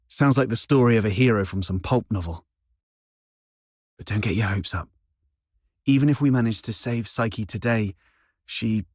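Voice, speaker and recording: An actor playing a worldly, streetwise schemer in a video game cutscene, with a wry, conversational way of talking. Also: male voice, on the low side, husky, no room sound, studio quality.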